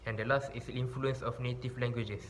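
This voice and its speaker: A man presents a class project at a middle pitch, reading his points aloud and stumbling over words now and then. There is a faint hiss of background noise behind him. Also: level tone, fast. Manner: reads with frequent fillers and restarts. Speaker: student presenter.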